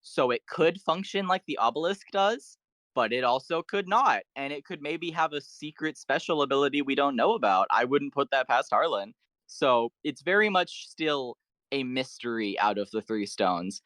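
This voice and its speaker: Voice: medium pitch, expressive, moderate pace, a bit bright, no room sound. A podcast guest talking through fan theories in an enthusiastic, explanatory way and in detail. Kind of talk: enthusiastic fan lore discussion.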